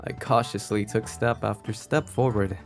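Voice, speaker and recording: A man's voice: lowish voice, steady tone, normal speed, faint music behind. A man streaming a visual novel, reading the narration aloud expressively with playful interjections. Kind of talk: expressive read-aloud narration with live reactions.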